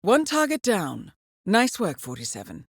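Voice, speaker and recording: An actress playing a composed, coolly professional intelligence handler who guides an assassin in a video game, measured and dryly wry. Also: female voice, medium pitch, huge pitch swings, husky, no echo, studio quality, heavily acted. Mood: serious, briefing. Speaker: mission handler. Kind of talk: scripted video game mission briefing.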